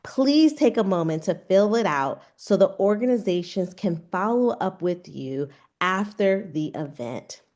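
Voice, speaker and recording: A woman's voice: medium pitch, lively intonation, a little slow, few pauses, a little mellow. A woman hosting a virtual fair on a video call, reading a welcoming, scripted introduction. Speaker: event host.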